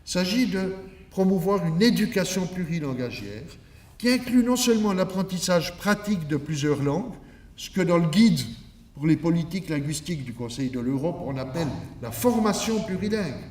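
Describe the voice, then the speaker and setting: A man's voice: high-pitched, animated, normal speed, a little mellow. A man from Switzerland giving an academic conference lecture in a didactic, emphatic manner with rhetorical asides. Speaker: academic keynote speaker. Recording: echoey room, distant microphone.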